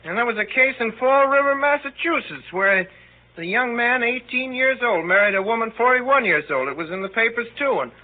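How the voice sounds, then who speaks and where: A man's voice: very high-pitched, animated, moderate pace. An actor playing an earnest, know-it-all teenage boy in a 1930s radio comedy, rambling on insistently. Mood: earnest boasting. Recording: muffled old 1930s radio broadcast.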